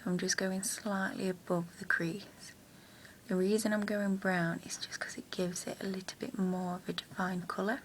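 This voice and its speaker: Young woman, croaky gravelly voice, rambling chatty tutorial, close camera mic, faint hiss. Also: mid-range voice, flat delivery, normal speed. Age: young adult. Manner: chatty, rambling, with hesitant fillers. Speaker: makeup vlogger. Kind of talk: casual makeup tutorial. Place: small room at home, indoors. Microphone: built-in camera mic at close range.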